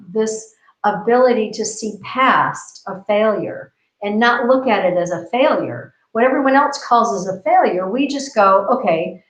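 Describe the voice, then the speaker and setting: A woman from the United States, co-hosting a casual discussion, talking earnestly and repeating phrases as she builds her point. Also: mid-range voice. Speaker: co-host. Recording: roomy, dull lo-fi video call audio.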